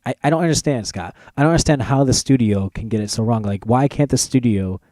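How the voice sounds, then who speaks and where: A man's voice: mid-range voice, quick pace, mellow, studio quality. A man hosting a podcast in casual, informal banter, as if chatting with a buddy. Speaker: podcast host. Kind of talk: casual chat. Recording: roomy echo, faint music.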